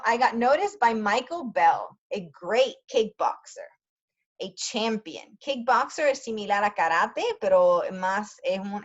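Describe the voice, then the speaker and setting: A woman teacher reading a story aloud for language learners, pausing often to explain words in quick asides. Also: mid-range voice, highs cut off.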